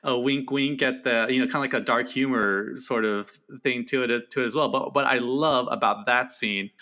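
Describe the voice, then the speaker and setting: Bright-voiced man chatting animatedly about a film. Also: normal speed. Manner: animated, laughs often, acts out quotes in high voice. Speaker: podcast guest, film critic. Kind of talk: casual film discussion.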